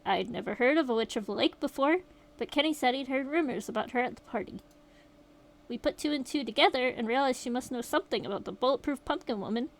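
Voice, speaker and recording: A woman voicing a shaken young survivor, reading the account aloud in a steady, rueful way. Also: high voice, fast. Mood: grim retelling. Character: shaken young survivor recounting a horror night.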